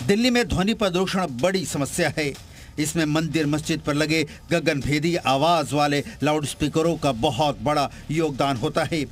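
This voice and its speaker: A man's voice: on the high side, normal speed. A man delivering an emphatic, scripted television news report as a reporter. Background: music bed.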